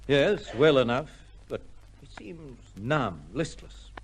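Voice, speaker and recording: A prison governor in vintage radio drama. His voice sits at a middle pitch and is weighty and deliberate. He is courteous and grave, with a troubled, formal way of putting things. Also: expressive, slight background noise. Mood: troubled, cautious. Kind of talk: old-time radio drama acting.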